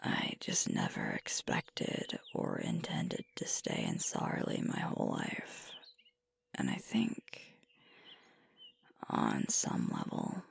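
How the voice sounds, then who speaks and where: A woman playing a sweet, earnest young werewolf pack leader in an intimate ASMR girlfriend roleplay, breathy and tender, close to the microphone. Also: extremely low pitch, lively intonation, exaggerated voice. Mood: reflective, soft. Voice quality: breathy, whispery. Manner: slow, tender, hesitant, with pauses. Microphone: close microphone, dry.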